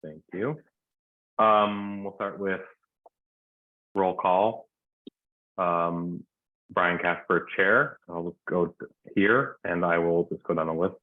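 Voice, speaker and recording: Deep-voiced man chairing a meeting. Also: slow pace, long pauses, dry recording. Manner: relaxed, informal, uses many fillers. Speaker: commission chair. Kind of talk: meeting roll call and introductions. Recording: dry tinny phone-like line.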